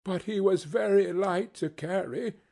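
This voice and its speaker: Guy doing a sad gentle mum from a storybook, voice lifted higher, trying to stay steady, slow. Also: vocal fry, exaggerated voice. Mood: steady, wistful. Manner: tender, faltering, repeats herself. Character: grieving working-class mother, gentle, bravely steady. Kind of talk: storybook character reading.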